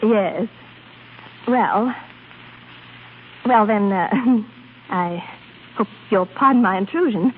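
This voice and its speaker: A woman's voice: medium pitch, slow pace, long pauses, phone-quality sound, close to an everyday voice. An actress playing a flustered, haughty young lady in 1930s radio drama style, hesitant and fluttery in manner. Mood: flustered, pretending. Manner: hesitant, fluttery, with nervous little laughs. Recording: thin, noisy 1930s radio recording.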